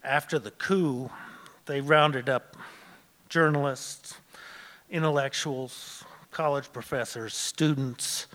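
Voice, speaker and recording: A man telling a personal story live on stage, pausing often with "uh" as he goes. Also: medium pitch, fairly even intonation, a bit bright. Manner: halting, with many "uh" pauses. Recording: long hall reverb.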